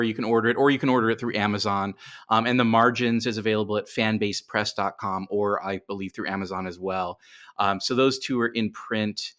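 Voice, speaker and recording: A man's voice: on the low side, a bit bright. A middle-aged man speaking casually as a guest in a podcast interview, with self-deprecating humour. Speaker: guest, writer.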